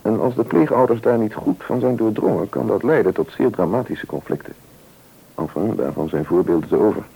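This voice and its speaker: An actor playing a sober, cautious official in a radio play, explaining formally and in measured words with a Netherlands Dutch accent. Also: male voice, on the low side, animated, creaky, husky, phone-quality sound, exaggerated voice. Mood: businesslike.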